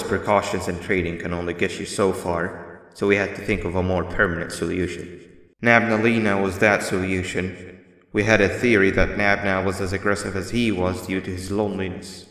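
A man voicing a wary male creature who guides the player in a video game, with a calm, measured delivery. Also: low voice. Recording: echoey reverberant game audio.

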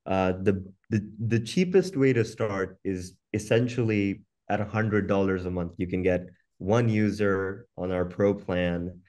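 A man's voice: deep voice, a little slow, few pauses, a little mellow. A man giving an informal, conversational webinar presentation over a video call, often repeating words as he goes. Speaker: company founder presenting product.

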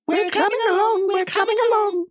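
A woman voicing two female railway coaches in a storybook, chanting in a shrill sing-song. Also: fairly even intonation, fairly quick.

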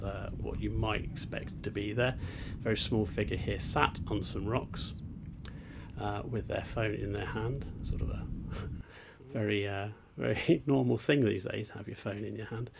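A man's voice: fairly low pitch, vocal fry, noisy background. A man explaining an ink sketching demonstration outdoors on a beach, talking hesitantly with many fillers and restarts. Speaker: art teacher. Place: outdoors on a beach, seated on rocks.

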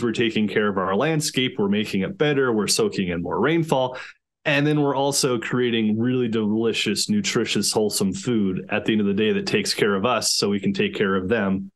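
Enthusiastic low-voiced farmer on a video call. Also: male voice, a bit bright. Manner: enthusiastic, rambling with many restarts. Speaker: organic chicken farmer, podcast guest. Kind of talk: casual interview over video call. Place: small room indoors.

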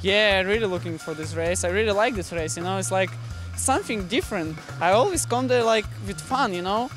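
A man's voice: high voice, a little fast, a bit bright, soft background music. A young man, a motocross rider, chatting in a paddock interview with lots of you know and like, into a handheld mic. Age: young adult. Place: outdoor race paddock. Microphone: handheld mic held by interviewer.

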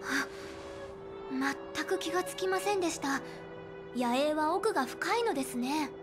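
A woman's voice: sits high, animated, unhurried, over music. A woman voicing a bookish, earnest young woman in an anime game, speaking politely and precisely. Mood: polite, curious. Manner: polite formal speech, precise, studious.

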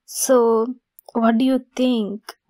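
A woman voicing an affectionate, playful young female best friend secretly in love, in a soft, halting ASMR roleplay. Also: a little high, flat delivery, dry recording. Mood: shy, affectionate. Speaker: audio roleplay voice actress.

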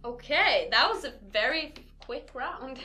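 A woman's voice: sits high, expressive, a little slow, sharp tone, soft background music. A young woman talking casually as a player in a board game. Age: young adult. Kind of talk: casual chat during a deduction game.

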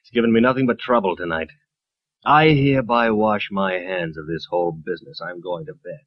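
Tired, fed-up noir character, very low voice, grumbling, dull vintage radio sound. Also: male voice, brisk, no echo. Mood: weary, resigned. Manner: resigned, grumbling. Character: weary, fed-up man, an old friend of the detective. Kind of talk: 1940s radio noir acting. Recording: dull dry 1940s radio recording.

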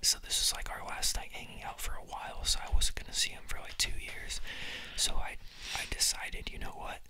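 A man's voice: brisk, bright, forceful tone. Young man whispering chatty stories. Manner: chatty and giggly, many fillers, whispering throughout. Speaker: podcast host. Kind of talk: whispered casual storytelling. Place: friend's house, indoors. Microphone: phone.

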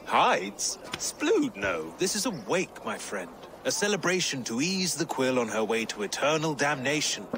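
A man's voice: fairly high pitch, big pitch swings, measured pace, gravelly, few pauses, background noise, full character voice. A man playing a learned, sardonic Anglo-Saxon reeve in a video game, speaking in formal, archaic phrases. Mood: wry, merry. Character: wry, tipsy Anglo-Saxon royal reeve, learned and measured. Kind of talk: cinematic video game dialogue.

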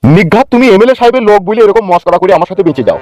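A man's voice: sits high, big pitch swings, racing, darkish tone, no echo, faint music behind. A man playing a stern, weary, crude-tongued senior police officer in a comic cartoon, lecturing bluntly. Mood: irritated.

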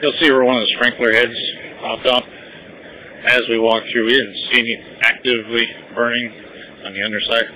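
A man's voice: mid-range voice, level tone, brisk, bright, forceful tone. A man working as a firefighter, giving status reports over a two-way radio. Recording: dull, slightly noisy fireground radio.

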